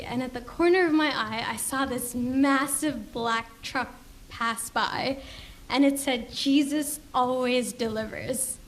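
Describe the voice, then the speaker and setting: A young woman from Indonesia, sincerely telling her personal faith story to a church congregation. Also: a little high. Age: young adult. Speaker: church member giving testimony. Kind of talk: personal testimony speech. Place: church service.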